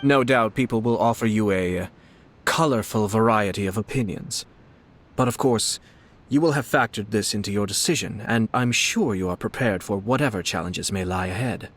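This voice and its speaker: An actor playing a composed, courteous young noble commissioner, speaking in a measured, polite and gently probing way. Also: male voice, on the low side, natural voice. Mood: reassuring. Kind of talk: game dialogue voice acting.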